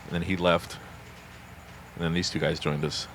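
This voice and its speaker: A man's voice: deep voice, flat delivery, normal speed, fairly bright tone, slight background noise. A man, a joking band member who hesitates with fillers. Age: 20s.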